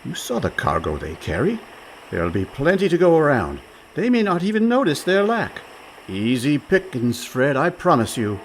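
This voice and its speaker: An actor playing a breezy, overconfident schemer in a comic radio play, glib and mock-genteel. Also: male voice, a little high, wildly swooping pitch, normal speed, slight background noise, poor audio. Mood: glib scheming. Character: smooth-talking, scheming con man, male, breezy and overconfident.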